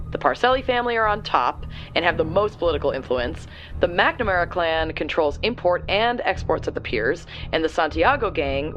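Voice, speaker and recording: A woman's voice: on the low side, dull audio, natural voice. An actress playing a brash, tech-savvy young woman who gives wry, slangy briefings in an audio drama. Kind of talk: audio drama acting, conversational exposition.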